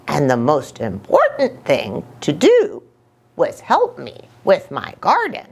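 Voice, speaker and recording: A kind old male turtle from a storybook, played by a woman, explains things with patient wisdom. The delivery is very slow and deliberate, with hugely varied, swooping intonation. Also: fairly high pitch, slightly rough, heavily acted.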